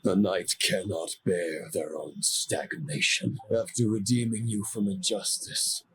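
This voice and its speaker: A man's voice: deep voice, fairly even intonation, slightly rough, full character voice. A man acting a proud, fallen knight in a video game, solemn and menacing, with grandiose, formal delivery. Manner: grandiose, formal, deliberate oratory.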